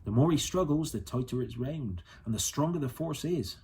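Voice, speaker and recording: A man voicing a wise, wary old boatman in an audiobook, gruff but kindly, speaking in rustic dialect. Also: mid-range voice, lively intonation, normal speed, warm tone, a little hiss or hum, voice pushed up. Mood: matter-of-fact. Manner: rustic dialect with nonstandard grammar.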